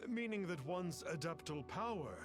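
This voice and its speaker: An actor playing a pompous, boastful fraud of a mystic in a video game, using stiff archaic wording and calling himself "one". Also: male voice, fairly high pitch, lively intonation, moderate pace, over music, natural voice. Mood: indignant, haughty. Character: pompous fraudulent male adept, boastful and evasive.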